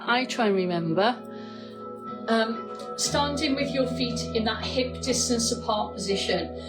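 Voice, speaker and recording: A woman with a croaky voice, leading an online Pilates class in a chatty, encouraging way. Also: a little high. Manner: chatty, encouraging, with asides and self-corrections. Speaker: Pilates instructor. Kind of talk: instructing an online exercise class. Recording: echoey room, mic from a distance, music behind.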